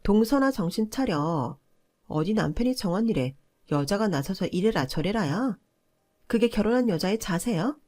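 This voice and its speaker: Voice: medium pitch, lively intonation, normal speed, a little mellow, voice pushed up, lightly acted. A narrator voicing a pushy, entitled older sister-in-law in a family story, scolding and condescending. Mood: indignant. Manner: condescending, nagging, argumentative.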